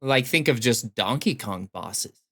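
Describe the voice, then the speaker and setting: A man's voice: mid-range voice, animated, measured pace, sharp tone. A man co-hosting a podcast, talking in a casual, conversational style. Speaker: podcast co-host. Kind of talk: casual chat about video games.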